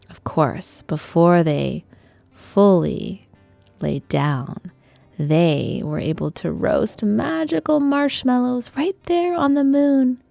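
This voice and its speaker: Casting: female bedtime storyteller for kids, very unhurried and breathy with heavy creak, soothing guided-meditation delivery over faint music. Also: medium pitch, warm tone, dry recording.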